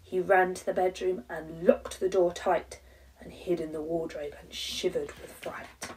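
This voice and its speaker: A woman's voice: on the low side, steady tone, unhurried, a little mellow, recorded from a distance, slight background noise, low-quality recording. A woman in her thirties with an English accent, a primary teacher reading a rhyming children's story with dramatic emphasis.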